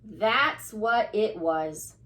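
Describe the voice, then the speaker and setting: A woman's voice: mid-range voice, lively intonation, very slow, a bit bright. A woman reading a picture book aloud to children, with dramatic emphasis on key words.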